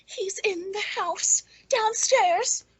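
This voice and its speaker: A high-voiced, bitter woman played by an actress broods through a radio suspense story. She speaks with pointed, deliberate menace, clever and quietly scornful of those around her. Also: heavily acted, poor audio. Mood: urgent, hushed alarm. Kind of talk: old-time radio suspense acting.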